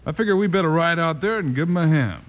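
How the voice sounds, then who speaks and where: An actor playing a steady, brave, easygoing cowboy lawman in a 1950s Western radio drama, calm and in charge. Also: on the high side, fast talker, muffled recording, lightly acted. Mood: calm, concerned. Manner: calm, take-charge, folksy. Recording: slightly noisy old radio recording.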